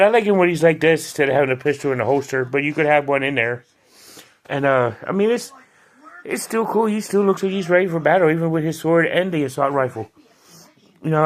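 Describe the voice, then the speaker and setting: Brief: man, casual toy reviewer, slightly higher voice, rambling and chatty. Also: a bit bright. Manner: chatty, loose, with frequent restarts and fillers.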